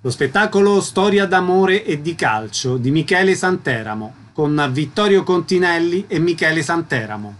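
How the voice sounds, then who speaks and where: Higher-voiced man making radio announcements. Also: lively intonation, moderate pace, few pauses, soft background music. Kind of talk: scripted announcement of theatre credits.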